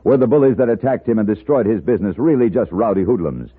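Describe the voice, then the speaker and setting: Animated old-time male radio announcer. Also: lowish voice, fast talker, husky. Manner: dramatic, emphatic. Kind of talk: 1950s radio announcing, scripted. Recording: tinny 1950s radio broadcast.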